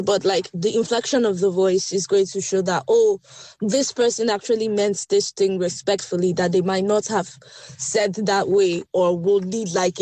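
A woman's voice: medium pitch, dry recording. Chatty woman. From Nigeria. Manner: chatty, lively and quick. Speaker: journalist. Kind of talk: voice note.

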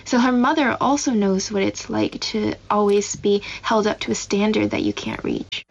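Guest novelist, female, 20s; measured delivery, vocal fry, slightly dull remote sound. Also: mid-range voice, huge pitch swings. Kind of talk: interview answers.

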